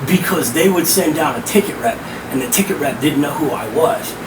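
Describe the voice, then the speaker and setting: A hoarse, powerful-voiced middle-aged man tells stories as a podcast guest, emphatic and salty, his voice sitting a little high. He is picked up from some way off the mic in a roomy space. An air conditioner hums clearly underneath. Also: a little fast, distant mic, noisy background. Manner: animated, emphatic, profane. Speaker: guest, rap host and promoter. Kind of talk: casual podcast storytelling.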